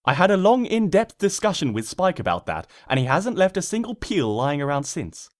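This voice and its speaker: A man's voice: on the high side, big pitch swings, moderate pace, no echo, studio quality, pitched above the performer's own voice. A man voicing an irritated, stubborn young female pony heroine in a comic storybook, speaking in an exasperated, indignant manner. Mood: snotty, smug.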